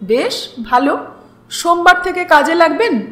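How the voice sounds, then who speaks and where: A woman plays a stern uncle in a storybook reading. The delivery is businesslike and authoritative, high in pitch and very animated. The room has a clear echo. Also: measured pace, heavily acted. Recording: roomy echo with music underneath.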